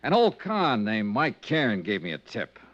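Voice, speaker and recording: An actor playing a tough freelance insurance investigator in a 1950s radio drama, brisk and matter-of-fact, also narrating. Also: male voice, lowish voice, wildly swooping pitch, a bit bright, heavily acted. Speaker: narrator and lead. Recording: dull old radio transcription.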